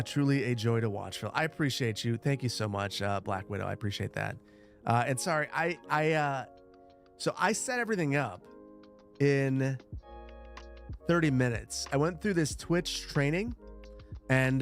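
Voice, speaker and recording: A man live-streaming a city-building game, reading chat and joking about the bad graphics, chuckling often and stumbling over fillers and restarts. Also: medium pitch.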